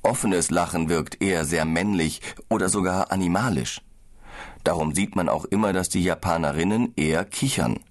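Deep guy, calm explainer, scripted audiobook chat, a bit tired. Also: normal speed. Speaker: business traveller in a language-course dialogue.

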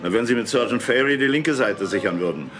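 An actor playing a commanding chief inspector who leads a police raid in a German audio drama. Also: male voice, medium pitch, lively intonation, fairly quick, natural voice. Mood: businesslike command. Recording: very echoey space, faint music.

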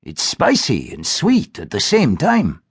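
A male narrator voicing a hearty elderly gentleman who speaks warmly and expansively. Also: medium pitch, wildly swooping pitch, breathy edge, no echo, clean studio sound, exaggerated voice. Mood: jovial. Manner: warm-hearted, expansive, drawn-out.

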